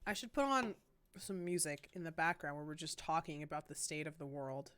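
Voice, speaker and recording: A woman's voice: sits low, animated, brisk, fairly bright tone. A woman streamer chatting casually with lots of fillers, sounding congested and worried. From Canada. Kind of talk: casual chat.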